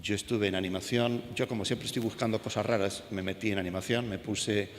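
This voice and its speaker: A man's voice: lowish voice, fairly even intonation, brisk. A man with a Castilian Spanish accent giving an informal talk to an audience, telling anecdotes and putting questions to his listeners. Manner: conversational, puts questions to the audience. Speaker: animator, panel speaker. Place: school event hall with an audience. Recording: echoey hall, some background noise.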